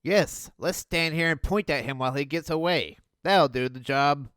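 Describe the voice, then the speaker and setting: A man hosting a video, riffing on cartoon clips with mocking, deadpan sarcasm. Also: on the high side, normal speed, smooth, no room sound, clean studio sound, faint music behind. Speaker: video host. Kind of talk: sarcastic riff commentary.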